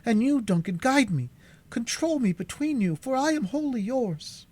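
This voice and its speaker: A man playing a proud elder sister from a storybook, her voice lifted higher, solemn and earnest. She speaks with firm, measured dignity, even under strain. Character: proud, calm elder sister, young woman.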